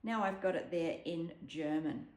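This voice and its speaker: A woman's voice: sits low, moderate pace, a little mellow. A woman casually walking through an app demo at home, chatty and explanatory with frequent ums. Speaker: app tutorial presenter. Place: home room with a dog around. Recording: echoey room.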